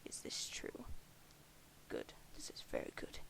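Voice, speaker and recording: A woman's voice: unhurried, in a whisper, natural voice. A woman voicing a haughty, imperious alien troll who scolds in formal, pompous language.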